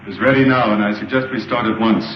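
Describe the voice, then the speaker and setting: A man acting a formal, dignified official in an old sci-fi serial, speaking in measured phrases. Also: fairly low pitch, fairly even intonation, noisy background, lightly acted. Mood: polite, formal. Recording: echoey muffled old film soundtrack, music behind.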